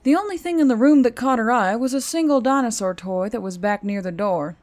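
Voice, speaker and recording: A woman's voice: a little high, fairly quick, a little mellow, faint music behind. A woman reading a scripted story aloud as an audiobook narrator.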